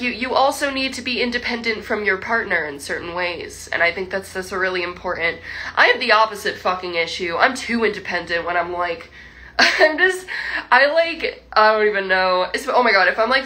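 A woman's voice: mid-range voice, pushed and bright. A young woman livestreaming from home, chatting to fans in a rambling, laughing way full of likes and restarts. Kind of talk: casual chat with viewers. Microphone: phone livestream.

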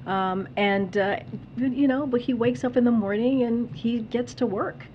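A woman press secretary answering reporters' questions at a podium, measured and firm, often restarting her phrases. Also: fairly high pitch, highs cut off, slight background noise. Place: briefing room at a podium. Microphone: podium microphone.

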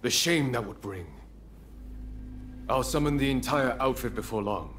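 An actor playing a stern, measured samurai commander in a video game cutscene. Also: male voice, medium pitch, fairly bright tone, slight background noise, natural voice.

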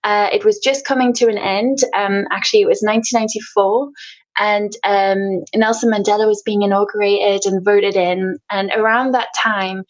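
A woman's voice: medium pitch, fairly even intonation, normal speed. A woman in her thirties from South Africa, chatting as a podcast guest with lots of fillers and restarts. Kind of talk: casual interview chat. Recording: slightly dull lo-fi sound.

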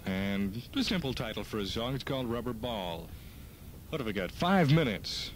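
A man's voice: medium pitch, very animated, normal speed. A man hosting an evening music show in 1970s radio DJ style, on an old aircheck. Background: loud music.

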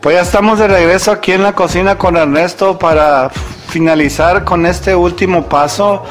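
A man's voice: high voice, steady tone, moderate pace, faint music behind. Chatty man, cooking host. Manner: chatty, rambling, self-correcting, addresses viewer as friend. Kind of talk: casual cooking demonstration.

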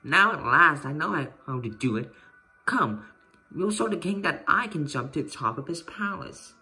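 A man's voice: fairly high pitch, lively intonation, normal speed, bright, forceful tone, pitched above the performer's own voice, exaggerated voice. A man voicing an eager young boy in a children's storybook. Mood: eager, happy.

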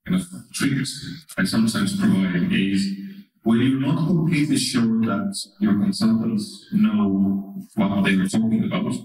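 A man in his thirties, from Estonia, speaks with an Estonian accent in a fairly low voice. He talks into a handheld mic, his words booming through a big hall and picked up from well back in the room. His delivery is relaxed and wry, and he often checks in with "right?". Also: measured pace. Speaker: conference speaker. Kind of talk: conference keynote talk. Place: large conference hall, indoors. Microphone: handheld microphone through hall speakers. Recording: echoey hall, from far off.

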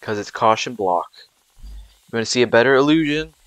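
A man's voice: medium pitch, lively intonation, fairly quick, a bit bright, smooth, dry recording, poor audio. A young man giving rambling, casual commentary over gameplay, with frequent fillers and restarts. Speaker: gameplay video creator.

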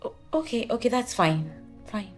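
Casting: a serious, distressed young woman in a screen drama, slightly high voice. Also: very animated, normal speed, faint music behind. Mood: anxious, serious. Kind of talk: film drama acting.

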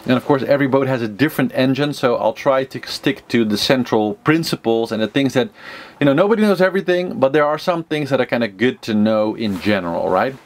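A man's voice: medium pitch, expressive, brisk. A man in his thirties hosting an informal how-to vlog, thinking aloud in a hesitant, rambling way as he explains things to camera. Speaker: sailing instructor and series host. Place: small wood-lined sailboat cabin, indoors.

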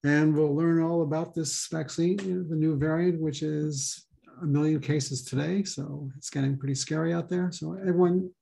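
A man hosting a talk over a video call, speaking haltingly with many pauses and ums. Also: on the high side, steady tone, vocal fry, mellow. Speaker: host and co-chair.

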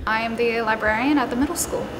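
An American woman answers interview questions informally as a school librarian. Her pitch is fairly high and there is clear noise behind her. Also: quick pace. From Pennsylvania, United States. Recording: clear background ambient noise.

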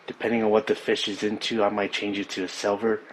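A man explaining his fishing trip and gear in a relaxed, casual way on a snowy riverbank, recorded on a body camera. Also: on the low side, fast talker, slight background noise, poor audio. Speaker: fishing vlogger. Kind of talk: casual outdoor narration.

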